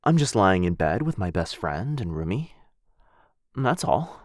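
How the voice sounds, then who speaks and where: Casting: male actor as affectionate, nervous young dog-man roommate for boyfriend ASMR; low, soft-spoken and hesitant, very close and dry. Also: big pitch swings, unhurried, no room sound. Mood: nervous, flustered. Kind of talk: boyfriend ASMR audio roleplay.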